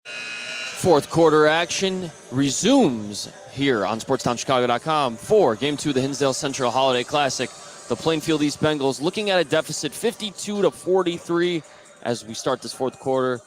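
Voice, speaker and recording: An American man works as a play-by-play announcer at a basketball game, calling the action live. His voice sits at a middle pitch, with clear gym noise and bouncing balls behind him. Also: expressive, moderate pace.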